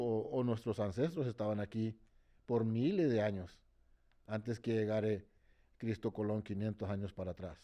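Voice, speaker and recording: A man's voice: lowish voice, dark tone. A man speaking passionately in an interview, often repeating words as he searches for the next phrase and checking in with the listener. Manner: switches between English and Spanish. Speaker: interviewee, march organizer. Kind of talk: impassioned interview talk.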